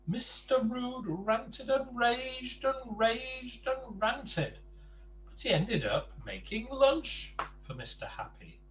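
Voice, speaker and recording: A man reading a children's storybook aloud in an expressive, emphatic way. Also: very high voice. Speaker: bedtime story reader. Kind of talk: animated children's storybook narration with casual asides. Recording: distant mic with faint background noise.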